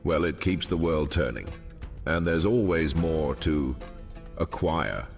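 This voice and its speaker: A man playing an old, fading captive djinn in a fantasy audio drama, courteous and wheedling, with silky theatrical delivery and hesitant pauses. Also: rumbling low, vocal fry, heavily acted. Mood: wheedling, crafty. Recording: long cavernous reverb, music underneath. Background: music.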